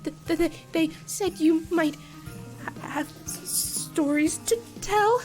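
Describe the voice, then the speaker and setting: Tiny scared stammering kid, super high, cartoon, faint music. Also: female voice, takes it slowly. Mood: nervous. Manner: stammering, meek. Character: timid, nervous small human child. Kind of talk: comic dub character acting.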